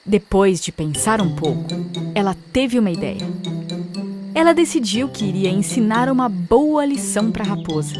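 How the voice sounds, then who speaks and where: A woman's voice: medium pitch, very animated, moderate pace. A woman with a Brazilian accent narrating a scripted fable for children as a storyteller. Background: music.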